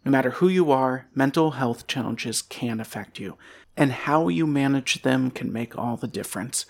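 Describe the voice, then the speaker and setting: A man's voice: medium pitch, moderate pace, creaky. A man delivering a scripted sponsor message as an ad announcer.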